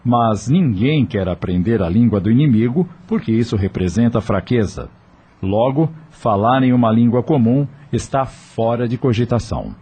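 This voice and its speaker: A man narrating a radio drama from a script, in an expressive, dramatic storytelling manner. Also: medium pitch, normal speed, breathy edge.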